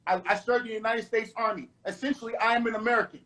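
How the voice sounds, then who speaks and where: Middle-aged man from the Bahamas, high voice, forceful preaching tone, repeats points in a panel debate. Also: fairly even intonation, very fast.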